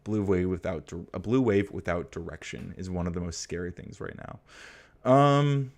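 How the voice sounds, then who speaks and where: Deep-voiced American man riffing on stream. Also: moderate pace, a little mellow. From United States. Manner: rambling and hesitant, full of fillers and restarts; blunt and profane when riled. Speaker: livestream host. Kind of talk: casual political chat, reading viewer comments.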